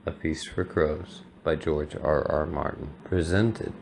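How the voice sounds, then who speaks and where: A man with a gravelly voice presenting and narrating a scripted dramatic reading. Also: extremely low pitch, fairly even intonation, takes it slowly, darkish tone, a little hiss or hum.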